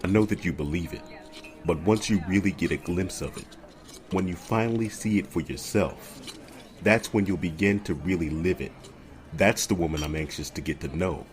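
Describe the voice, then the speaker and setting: A man playing a polished, perceptive romantic suitor in an audio drama, speaking in a measured, earnest, poetic way. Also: low voice, lightly acted. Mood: earnest, tender.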